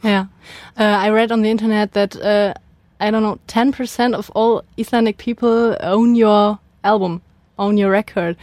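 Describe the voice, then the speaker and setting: A woman's voice: medium pitch, fairly even intonation, normal speed, few pauses, a little mellow, no room sound. A woman with a German accent hosting a casual radio interview.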